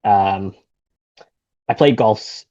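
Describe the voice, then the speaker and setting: A man's voice: lowish voice, takes it slowly, no echo, muffled recording. A man in his thirties from Singapore with an American accent, chatting casually and rambling a little as an interview guest. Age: 30s. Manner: relaxed, rambling, frequent fillers and restarts. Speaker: guest, golf shop owner.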